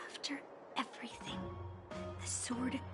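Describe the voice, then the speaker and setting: An actress playing a hesitant young female character in a video game, with halting delivery. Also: on the high side. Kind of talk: scripted game dialogue. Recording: loud music nearly covering voice. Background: loud music.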